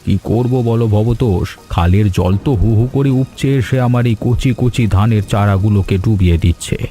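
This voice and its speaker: A man's voice: lowish voice, moderate pace, mellow, faint music behind, lightly acted. A man playing a worried, hospitable farmer and father of grown sons in a scripted cartoon.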